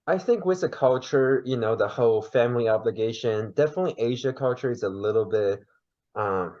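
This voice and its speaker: A man from China with a Mandarin Chinese accent, whose first language is Mandarin, chatting lively as a podcast guest and searching for words. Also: medium pitch, a little fast, dull audio. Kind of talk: casual interview chat.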